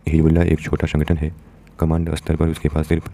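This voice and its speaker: A man's voice: extremely low pitch, completely flat delivery, fast, dark tone. An Indian man presenting a news explainer, reading out and explaining an editorial in a didactic way, addressing listeners directly.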